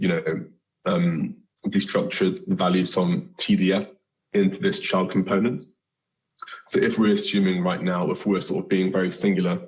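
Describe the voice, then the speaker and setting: A British man with a hoarse, slightly nasal voice from a recent flu, giving a live coding lesson with many ums and self-corrections. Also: sits low, a little mellow, low-quality recording. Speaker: coding instructor.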